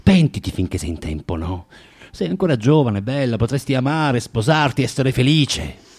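An actor playing a bitter, self-loathing drinker who moralizes haltingly and insistently in a radio drama. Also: male voice, mid-range voice, lively intonation, a little mellow. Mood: pleading, moralizing. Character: bitter, preachy, self-loathing male drinker lecturing a young prostitute.

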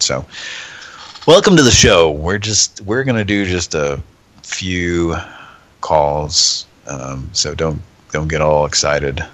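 A man hosting a live show in rambling, halting chat, stuffed up from a cold. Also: rumbling low, a bit bright. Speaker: host.

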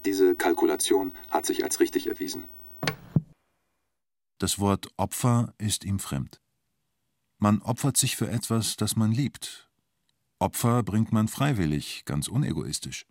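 Ein Schauspieler spielt in einem Hörspiel einen nüchternen, sachlich formulierenden Erzähler und Vernehmer. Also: male voice, on the low side. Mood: formal, official. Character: sober male narrator and formal police interrogator. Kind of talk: scripted radio drama narration.

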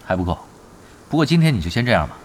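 A man's voice: fairly low pitch, sing-song, racing, darkish tone, slight background noise. An actor playing a patient, teasing veteran gamer who coaches his team in an audio drama. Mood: instructive. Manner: instructive, drily teasing. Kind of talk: Chinese radio drama acting.

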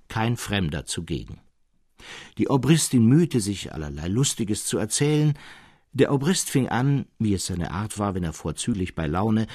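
A man's voice: lowish voice, a little mellow, husky, no echo, clean studio sound. A man narrating a gothic literary tale in a solemn, suspenseful and theatrical manner.

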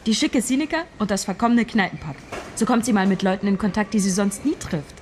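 A level-headed woman scriptwriter in a radio play, played by an actress thinks ideas through out loud. Her voice sits at a middle pitch. A faint noise of the room hangs behind her. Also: fast, lightly acted.

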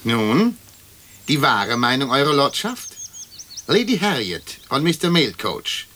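A man's voice: mid-range voice, big pitch swings, slow, pushed and bright, heavily acted. An actor playing an indignant, self-righteous tradesman and creditor, querulous and insistent, in a radio play. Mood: sly, insinuating. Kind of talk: German radio play acting.

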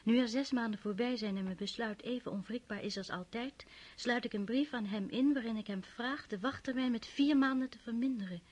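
A woman plays a dutiful young noblewoman, gentle and a little anxious, who speaks with quiet deference. Her somewhat high voice is husky and soft-edged. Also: a little fast, natural voice. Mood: earnest. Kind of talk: radio drama acting.

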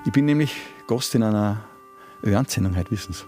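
A man's voice: on the low side, expressive, brisk, darkish tone, faint music behind, close to an everyday voice. An actor playing an impatient man with a Viennese Austrian accent, curt and grumbling, in a naturalistic radio drama. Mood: edgy. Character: gruff, impatient man nervously planning a risky scheme.

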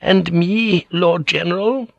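A man's voice: sits high, slow, creaky, no echo, muffled recording, voice pushed up, exaggerated voice. A man playing a frightened elderly Japanese noblewoman in an audiobook, with a weak voice and timid, stammering delivery. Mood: timid, pleading. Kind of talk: dramatic audiobook character voice.

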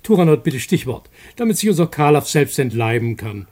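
An actor playing a teasing, mock-formal troupe narrator who presents a radio play to listeners. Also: male voice, a little high, big pitch swings, brisk, heavy background noise. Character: playful theatrical troupe narrator, teasing and knowing.

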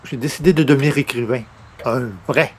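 A man's voice: mid-range voice, fairly quick, slight background noise, lightly acted. A man playing a self-important would-be writer and columnist in an old-time radio comedy, theatrical and grumbling. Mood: polite, hesitant. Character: pompous, wounded would-be great writer and newspaper columnist.

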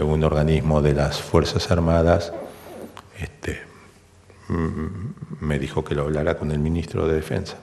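An older Argentine man with an extremely low, creaky voice. He recalls events haltingly, as an interviewee giving testimony, and the sound rings with long reverb. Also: a little mellow. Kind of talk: halting spoken recollection.

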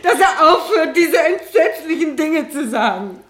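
A woman's voice: high voice, lively intonation, unhurried, fairly bright tone. An actress playing a distraught, defensive woman on the witness stand in a German radio play, emotional and emphatic. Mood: anguished confession. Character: distraught, defensive female witness, protesting innocence.

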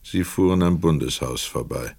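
Male narrator, extremely low pitch, dry close acoustic, measured, precise, literary radio storytelling. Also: vocal fry, a little mellow, no echo.

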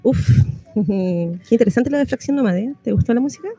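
A woman's voice: fairly high pitch, animated, fairly quick, loud music behind the voice. A Chilean woman hosting a radio show in a colloquial, chatty style. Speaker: radio host. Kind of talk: casual radio chat.

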